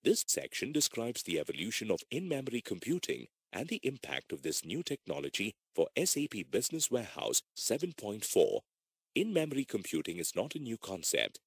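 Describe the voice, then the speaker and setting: Low male narrator with very animated intonation. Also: husky, dry recording. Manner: measured, formal reading. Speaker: e-learning narrator. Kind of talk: scripted instructional narration.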